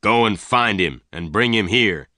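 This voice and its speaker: A man's voice: fairly low pitch, animated, unhurried, pushed and bright, no room sound, clean studio sound. A man voicing an angry, jealous and commanding boss in a western story, speaking in threats.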